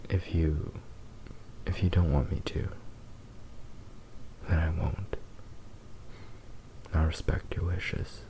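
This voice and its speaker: A man playing a possessive, doting fox-boy demon lover in a tender, hushed ASMR roleplay, with lingering pauses. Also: rumbling low, vocal fry, a little hiss or hum, full character voice. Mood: tender, reassuring.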